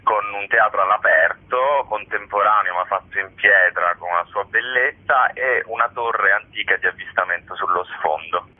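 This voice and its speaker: A man's voice: fairly low pitch, normal speed, hardly any pauses. A man answering interview questions as a theatre festival's artistic director, explaining things in an articulate, conversational way. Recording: narrow band, phone-like radio sound.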